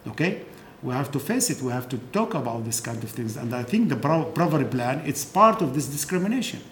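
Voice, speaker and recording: A fairly high-voiced man, speaking earnestly in a lecture and acting out past conversations. His voice bounces around an echoey hall. A faint hiss sits under it. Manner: earnest, re-enacts dialogues, frequent "okay?" tags. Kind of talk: lecture Q&A answer. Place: lecture room.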